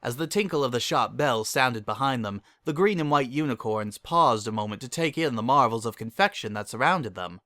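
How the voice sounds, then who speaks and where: Male narrator, dramatic story reading, very lively pitch, bright tone, dry close sound. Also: fairly quick, few pauses, no room sound.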